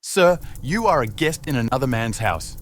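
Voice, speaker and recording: A man's voice: medium pitch, very animated, moderate pace, slight background noise, voice pushed up, natural voice. A man voicing a pompous male royal guard who scolds in a prim, stuffy manner. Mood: scolding.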